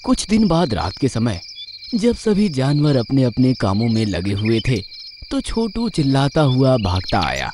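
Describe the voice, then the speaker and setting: A man narrating a scripted children's story in an expressive storytelling manner. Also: medium pitch, unhurried.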